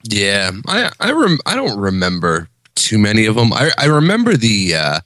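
Laid-back man in his thirties, lowish voice. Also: wildly swooping pitch, moderate pace, a bit bright, no echo, clean studio sound. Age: 30s. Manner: laid-back, short agreeing replies. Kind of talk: casual chat.

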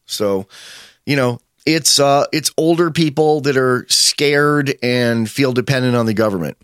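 A man's voice: medium pitch, measured pace, smooth, no echo, studio quality. A man in his fifties talking in a casual chat, opinionated and rambling, with many fillers and restarts. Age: 50s.

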